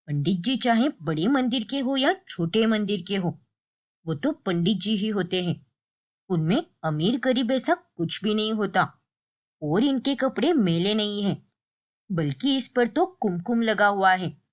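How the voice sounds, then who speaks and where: A woman's voice: mid-range voice, expressive, measured pace, lightly acted. A woman voicing a stern old grandmother in a cartoon story, scolding bluntly and giving orders. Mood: stern, angry.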